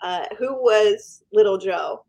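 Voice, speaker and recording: Enthusiastic woman podcast host. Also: mid-range voice. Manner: warm, enthusiastic, slow deliberate intro. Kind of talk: podcast interview hosting.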